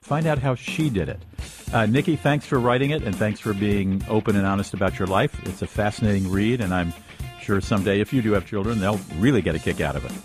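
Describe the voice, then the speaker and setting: A man's voice: on the low side, quick pace, soft background music. A man hosting a travel radio show, interviewing in a conversational style.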